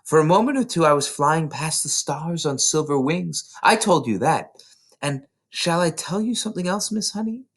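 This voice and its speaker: A man voicing an excited, eager, precocious small schoolgirl in a storybook reading, gushing with breathless run-on eagerness. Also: on the high side, breathy edge, pitched above the performer's own voice. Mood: eager, wondering. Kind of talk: children's storybook read aloud.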